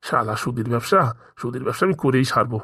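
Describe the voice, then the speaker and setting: Comedy cartoon father-in-law, male actor: greedy wheedler, self-important, brisk mid-pitched delivery. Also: expressive, pushed and bright, breathy edge, no echo, clean studio sound, exaggerated voice. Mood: scheming. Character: scheming, vain, gullible father-in-law craving moneylending riches. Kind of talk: amateur comedy cartoon dialogue.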